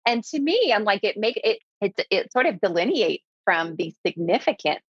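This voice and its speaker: A woman's voice: medium pitch, very animated, normal speed, breathy edge, no room sound, lo-fi sound. A woman who runs her own business, speaking emphatically in an interview and repeating key words for stress. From southeastern United States. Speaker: guest, business owner. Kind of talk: conversational interview.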